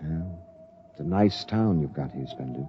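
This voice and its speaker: An actor playing a firm, reasonable spaceship captain with a measured, authoritative manner in a 1950s radio drama. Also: male voice, extremely low pitch, expressive. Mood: grave, reasoning. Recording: dull, hissy 1950s radio recording.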